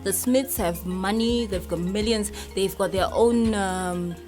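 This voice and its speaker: A woman's voice: medium pitch, unhurried, a little mellow. A woman hosting a casual entertainment discussion in a conversational, opinionated way. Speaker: talk show host. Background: music playing behind the voice.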